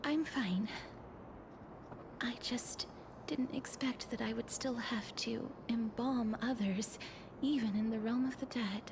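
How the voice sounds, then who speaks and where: A woman playing a gentle, solemn young holy maiden in a video game, speaking softly and breathily in a formal, hesitant way. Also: fairly high pitch, slow, background noise. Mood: quiet, melancholy. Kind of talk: scripted English video game voice acting. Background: game music.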